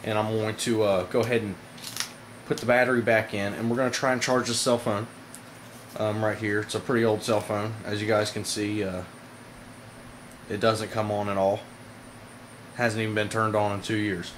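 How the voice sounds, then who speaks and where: Low-voiced man, noisy home recording. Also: level tone, normal speed, pauses often. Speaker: product reviewer, video host. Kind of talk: casual hands-on demonstration to viewers. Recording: noisy amateur camera audio.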